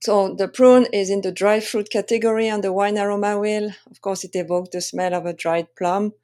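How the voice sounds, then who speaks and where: A woman with a French accent presenting an explanatory lesson as a wine tasting coach, speaking haltingly with frequent pauses. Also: mid-range voice, steady tone, mellow. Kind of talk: presentation lesson to camera or slides.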